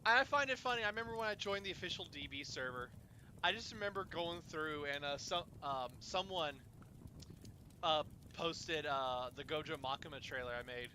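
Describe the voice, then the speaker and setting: A man hosting an informal chat, telling rambling stories in a halting, animated way and swearing at times. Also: a little high, slight background noise. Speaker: host of an informal fireside chat. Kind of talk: casual chat, rambling storytelling.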